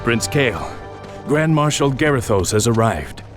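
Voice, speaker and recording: An actor playing a dutiful, urgent elf soldier bringing word in a fantasy game. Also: male voice, medium pitch, big pitch swings, a little slow, faint music behind. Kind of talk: dramatic fantasy game voice acting.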